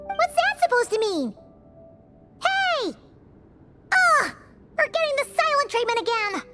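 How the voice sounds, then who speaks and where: A tiny, squeaky pixie girl for a video game. The voice is extremely high and childlike, swinging widely as it exclaims, bossy and cheerful, with music playing behind it. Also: unhurried, exaggerated voice. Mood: indignant. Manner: exclamatory, blunt, animated. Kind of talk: animated video game acting.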